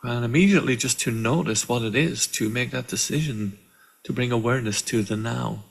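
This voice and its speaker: A man leading a guided meditation in a calm, measured way, with deliberate pauses between short phrases. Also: medium pitch, vocal fry, slightly rough, rough recording. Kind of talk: guided meditation, slow and instructional. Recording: live online session.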